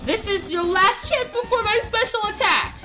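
A woman's voice: very high-pitched, animated, moderate pace, pushed and bright, soft background music, exaggerated voice. A woman voicing a boastful, bumbling skeleton warrior from a video game, with pompous, theatrical declarations. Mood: threatening, boastful. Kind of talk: video game dialogue voiced over.

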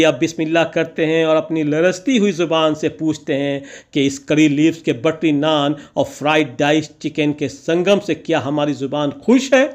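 Man narrating in florid, poetic style. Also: a little high. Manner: florid, poetic, theatrical phrasing. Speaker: vlogger narrating. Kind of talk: scripted voice-over narration, ornate Urdu-laced diction.

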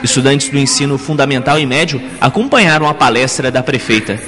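A young man in his twenties reporting for local TV news, narrating in a measured, formal way. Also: mid-range voice, a bit bright, big-room sound. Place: school hall with a large crowd of students. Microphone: handheld reporter mic.

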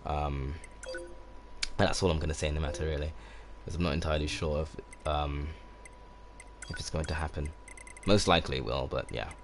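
A man in his twenties with a relaxed, soft-spoken voice, casually commenting while playing a game and rambling with many fillers. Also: a bass voice, normal speed, a little hiss or hum. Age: 20s. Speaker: game streamer. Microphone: condenser microphone. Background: game music.

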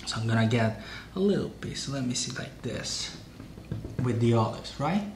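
A man in his forties with a Sicilian Italian accent, hosting a casual home cooking demonstration, unhurried with many hesitations. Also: mid-range voice, expressive, reverberant, noisy background. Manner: unhurried, hesitant with many fillers, relishing tastes. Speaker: cooking show host. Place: home dining room, indoors.

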